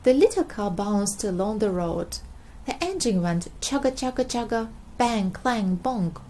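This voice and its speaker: A woman reading a children's storybook aloud in an expressive style. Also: mid-range voice, unhurried, slight background noise. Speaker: storybook reader.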